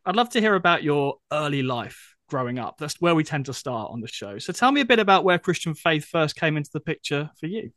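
A man hosting a radio interview show, reading a measured scripted introduction and then asking questions. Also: fairly high pitch, big pitch swings, fairly bright tone, dry recording, clean studio sound. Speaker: radio show host, magazine editor.

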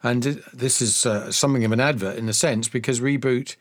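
A male radio interviewer talks fast and asks friendly questions. His voice sits at a middle pitch. The sound is dry and close. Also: steady tone, dry recording.

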